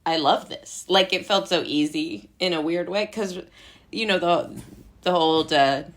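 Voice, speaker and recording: A woman's voice: lowish voice, unhurried. An American woman from Brooklyn, a podcast guest, chatting casually in a rambling, self-interrupting way. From Brooklyn, New York, United States. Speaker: interview guest, playwright and actor. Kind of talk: casual chat.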